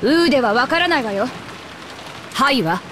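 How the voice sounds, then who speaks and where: A woman's voice: high voice, expressive, a little slow. A woman playing a stern, coldly dignified mother in an anime visual novel, speaking in a formal, authoritative way. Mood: scolding. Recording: clear rain noise behind.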